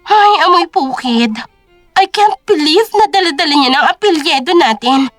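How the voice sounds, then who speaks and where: A snooty, scheming young heiress, acted by a woman for an audio melodrama. Her affected high voice is very animated, over faint music. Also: moderate pace, no room sound. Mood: disgusted. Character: spoiled, haughty, scheming young rich sister-in-law.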